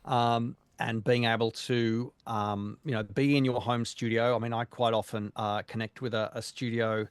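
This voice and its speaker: Hesitant, measured man, dry sound. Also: mid-range voice, flat delivery, clean tone, no echo. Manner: measured, hesitant, many ums and restarts. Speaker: voice actor guest. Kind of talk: casual interview conversation.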